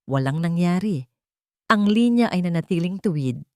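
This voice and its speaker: A woman's voice: on the low side, expressive, normal speed, dry recording, clean studio sound. A woman narrating an audio drama in a scripted, emotional and emphatic storytelling style.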